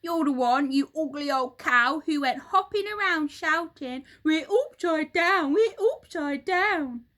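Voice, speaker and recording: A bad-tempered old storybook husband, played by a woman. He yells and blusters nastily. The voice is high and jumps about in pitch. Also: animated, measured pace, hardly any pauses. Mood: angry, scornful. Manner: yelling, blustering.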